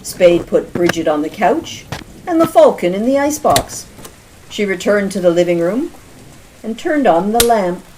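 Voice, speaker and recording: A woman's voice: mid-range voice, very animated, moderate pace, noisy background, natural voice. A woman narrating a community radio play in a scripted storytelling style. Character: storytelling female announcer. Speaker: narrator of audio drama.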